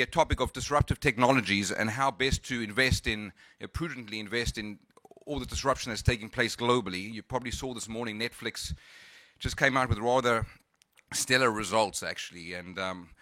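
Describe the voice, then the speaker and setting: Bright-voiced man, mixed South African–Californian accent. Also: fairly low pitch, no room sound. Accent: South African and Californian mix. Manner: hesitant, many fillers and restarts. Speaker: conference speaker. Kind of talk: conference presentation.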